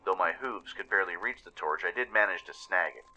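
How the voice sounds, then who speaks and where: A man's voice: sits low, a little fast, sharp tone, faint music behind, poor audio. A man giving a dramatic scripted narration of a radio play story. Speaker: narrator and producer.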